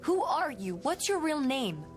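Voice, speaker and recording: A haunted, bewildered woman in an old-time radio drama. Her voice is fairly high, earnest and questioning, with music playing clearly behind it. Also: big pitch swings, measured pace. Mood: demanding, bewildered.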